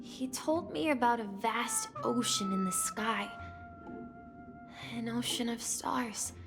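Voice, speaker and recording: A gentle young boy tells his story in a game scene. He is sentimental and hesitant, taking his words slowly. Music plays clearly underneath. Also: a little high. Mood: solemn, reminiscing. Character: wistful, earnest young boy recalling his grandfather. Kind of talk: video game story acting.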